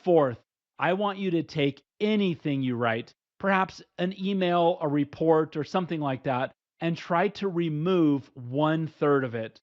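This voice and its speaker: Middle-aged male instructor, steady and plain, a bit high-pitched, with muffled lo-fi sound. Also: unhurried. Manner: steady, plain, numbered advice. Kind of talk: scripted instructional talk. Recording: dull lo-fi recording.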